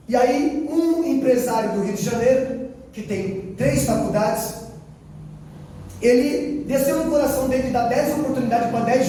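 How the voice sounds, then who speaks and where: A Brazilian missionary man tells his story from the pulpit, acting out each exchange and repeating lines for weight. His voice sits high but mellow. He is caught from across a reverberant church hall with a faint hum of ambience. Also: recorded from a distance. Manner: storytelling, quoting dialogue, repeating phrases for emphasis. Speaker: missionary preacher. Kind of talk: sermon testimony.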